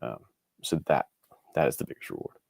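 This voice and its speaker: A man's voice: a little fast, a little mellow, no room sound. A man speaking earnestly and encouragingly as an interview guest, giving reflective answers in a casual, conversational way. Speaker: interview guest, indie author.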